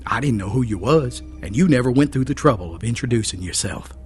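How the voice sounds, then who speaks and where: Guy putting on a raised-up voice for an ornery old hillbilly, rough twangy drawl, storybook style. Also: medium pitch, lively intonation, fast, faint music behind, voice pushed up, close to an everyday voice. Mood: teasing. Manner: drawling rural dialect, rough and loud. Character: angry old male mountain moonshiner, sly joker. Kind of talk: storybook reading.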